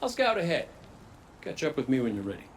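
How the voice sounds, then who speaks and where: An actor playing a wry, easygoing resurrected robot gunslinger in a video game cutscene, talking in a folksy, colloquial way. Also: male voice, medium pitch, huge pitch swings, fast, a little hiss or hum. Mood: warm, practical. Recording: music behind the voice.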